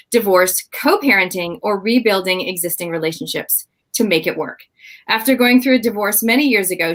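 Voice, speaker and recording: A woman's voice: mid-range voice, fairly bright tone. A woman with a Canadian accent hosting a livestream panel over a video call, introducing guests with enthusiasm. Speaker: livestream host.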